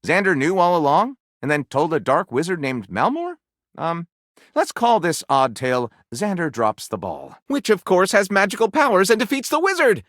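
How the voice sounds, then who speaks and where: A man's voice: high voice, huge pitch swings, normal speed, a bit bright, dry recording, studio quality, exaggerated voice. A man playing a flippant, theatrical writer character in a video game, speaking with wry sarcasm and mock grandeur. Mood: theatrical, bemused. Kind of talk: animated game dialogue, mock-grand title announcing.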